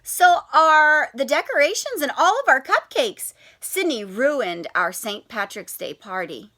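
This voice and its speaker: A woman's voice: high voice, very animated, measured pace, bright, forceful tone, full character voice. Woman doing a whiny tattling schoolgirl. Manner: complaining, sniffly.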